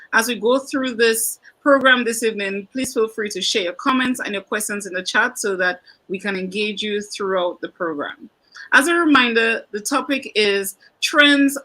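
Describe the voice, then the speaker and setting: A woman's voice: a little high, bright, forceful tone, low-quality recording. A woman moderating an online business panel, formal and welcoming as she introduces the speakers.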